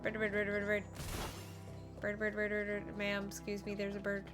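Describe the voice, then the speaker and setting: A woman's voice: medium pitch, monotone, moderate pace. A woman chatting casually as she streams a game, playful and exclamatory, often talking to herself. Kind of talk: casual playthrough commentary. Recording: game music behind the voice. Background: video game music.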